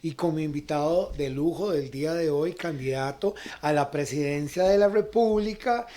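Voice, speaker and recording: A man's voice: fairly high pitch, moderate pace, dark tone. A man from Costa Rica with a Costa Rican accent, hosting a conversational political interview and often checking in with short question tags. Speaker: talk show host.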